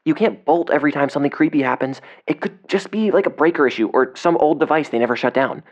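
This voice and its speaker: A man's voice: fairly high pitch, fast, dull audio, close to an everyday voice. An actor playing a casual, slangy young man, a reckless and stubbornly curious explorer in an audio drama. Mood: stubborn, eager.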